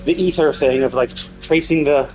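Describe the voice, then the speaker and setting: A man's voice: fairly high pitch, moderate pace, a little mellow, faint music behind, low-quality recording. A man livestreaming casual game commentary, ranting in an exasperated, emphatic way and swearing freely. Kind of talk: casual gameplay commentary rant.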